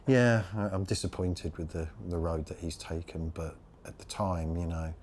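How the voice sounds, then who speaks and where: Deep-voiced man in his fifties, earnest. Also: moderate pace, darkish tone, a little hiss or hum. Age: 50s. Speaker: band rhythm guitarist. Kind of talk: documentary interview. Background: music bed.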